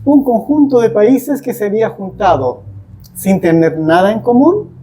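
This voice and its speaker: A man talking reflectively as a podcast guest, deliberately, with pauses between short phrases. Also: high-pitched, very animated, dark tone, slight background noise. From Chile. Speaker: guest, English teacher.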